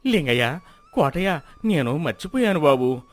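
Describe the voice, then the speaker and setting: A man's voice: a little high, sing-song, moderate pace, no echo, soft background music. Meek villager, played by a male actor, flustered and apologetic storybook delivery, very dry recording. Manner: hesitant, apologetic.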